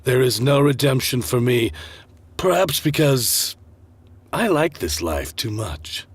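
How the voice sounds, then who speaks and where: A man acting a villainous, unrepentant murderous stepfather in a student radio drama, husky and menacing, with a mocking theatrical delivery. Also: mid-range voice, animated, slow pace, no echo, exaggerated voice. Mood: confessing, unrepentant.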